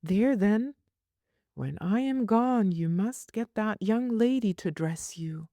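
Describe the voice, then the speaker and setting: A woman voicing a brisk, scolding but fond nursemaid in a storybook, with old-fashioned turns of phrase. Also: medium pitch, expressive, dark tone, dry recording, voice pushed up. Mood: chiding, fond.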